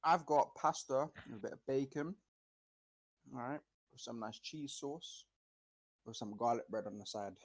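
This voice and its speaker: A man with a husky, mid-pitched voice chats as a podcast co-host. His talk is casual banter among a small group. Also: moderate pace.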